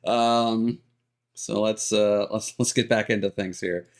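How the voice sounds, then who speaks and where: A man's voice: on the low side, steady tone, slow, faint music behind. A man livestreaming a video game and chatting casually with viewers, hesitant and rambling, often trailing off. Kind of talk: casual chat with stream viewers.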